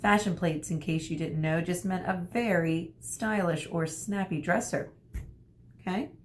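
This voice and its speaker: Woman, lower-pitched voice, teacher, children's read-aloud, explanatory delivery. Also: unhurried, a little hiss or hum. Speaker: elementary school teacher.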